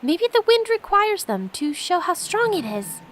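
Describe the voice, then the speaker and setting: A woman voicing a curious, innocent, excitable young girl in a storybook game, wondering and exclaiming. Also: extremely high pitch, measured pace, slight background noise, pitched above the performer's own voice, heavily acted.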